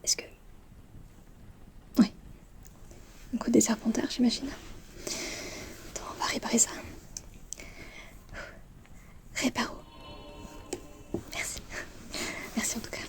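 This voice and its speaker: A woman plays a teenage wizard-school student in an ASMR roleplay. She whispers softly in a fairly high, breathy voice. She is friendly and chatty, a little distracted, with casual, hesitant slang. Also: steady tone, a little slow, long pauses. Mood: curious, friendly.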